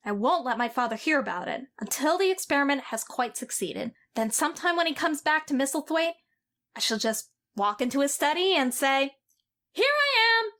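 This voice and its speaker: A woman's voice: high-pitched, fairly bright tone. A woman voicing a formerly sickly boy, proud, commanding and earnest. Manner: imperious, earnest chanting. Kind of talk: amateur audio drama.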